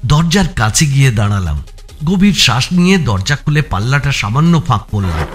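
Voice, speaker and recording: A man narrating a suspense thriller in a dramatic, expressive audio story style, with music behind him. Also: medium pitch, moderate pace. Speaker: narrator reading a first-person thriller.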